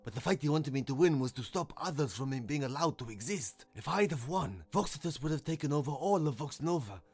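A man's voice: on the high side, animated, fairly quick, husky, faint music behind, voice pushed up. A man voicing an earnest, proud storybook lion. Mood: gentle, earnest. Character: proud, gentle, growling male lion, reformed fighter.

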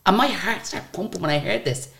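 An Irish woman with a very deep voice, a singer chatting as a guest and telling stories in a lively, animated way. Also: fairly quick, vocal fry. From Ireland. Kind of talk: casual interview storytelling.

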